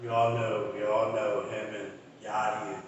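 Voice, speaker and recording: A man's voice: on the low side, level tone, moderate pace, muffled recording. A man co-hosting a casual podcast, bantering and joking in a laid-back way. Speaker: podcast co-host. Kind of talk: casual chat. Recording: echoey room from far off with noise.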